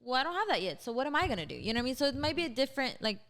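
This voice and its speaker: A woman's voice: high-pitched, sing-song, very fast, fairly bright tone. A young woman co-hosting a podcast, speaking reflectively in casual chat and often agreeing. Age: young adult. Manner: reflective, frequent agreeing "absolutely". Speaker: podcast co-host. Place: Houston, Texas.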